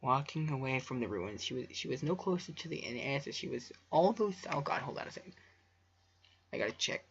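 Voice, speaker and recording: Guy with a gravelly voice reading stuff out casually, trips on words, muffled lo-fi sound. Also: mid-range voice, lively intonation, fairly quick, a bit bright. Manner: stumbling over words, breaking off for offhand asides. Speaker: amateur fan fiction reader and video creator. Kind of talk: casual story reading with joking asides. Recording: dull lo-fi home recording.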